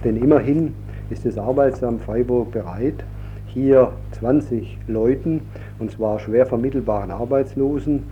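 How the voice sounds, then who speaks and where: Dull sound, faint noise, man, official press statement, halting and deliberate delivery. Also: mid-range voice. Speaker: trade union official. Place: press conference. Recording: dull, noisy location recording.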